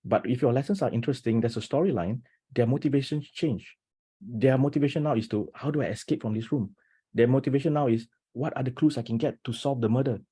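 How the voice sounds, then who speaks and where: A man's voice: mid-range voice, slightly rough. A man presenting as a teacher on a video call webinar, talking with energy and many restarts, often checking in with "right?". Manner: animated, talks fast with many restarts and rhetorical "right?". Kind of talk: enthusiastic webinar talk.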